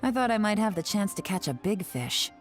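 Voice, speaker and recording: A woman's voice: mid-range voice, big pitch swings, a little fast, soft background music, close to an everyday voice. A woman voicing a composed, calculating female spy in a video game, speaking in a wry, self-assured way. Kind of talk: game cutscene dialogue.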